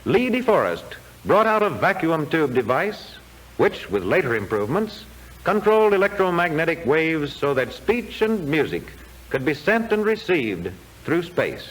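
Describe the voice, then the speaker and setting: Male narrator, 1940s classroom film. Higher-set voice with swinging intonation, formal and declamatory, vintage soundtrack. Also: very animated, fairly bright tone, poor audio. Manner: formal, measured, declamatory delivery.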